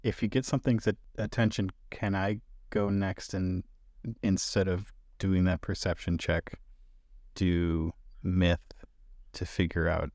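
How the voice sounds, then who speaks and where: A man's voice: low voice, level tone, a little slow, darkish tone, dry recording, clean studio sound. A man playing a tabletop roleplaying game, casually chatting and describing what his character does.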